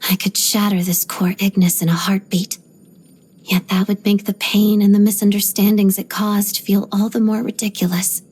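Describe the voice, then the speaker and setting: Breathy, reserved young ballerina played by a woman. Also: medium pitch, flat delivery, darkish tone, lightly acted. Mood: wistful, bitter. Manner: measured, restrained, articulate. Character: reserved, stoic young female ballerina trained by the Fatui. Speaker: video game character voice actor. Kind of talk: scripted game voice lines, introspective monologue.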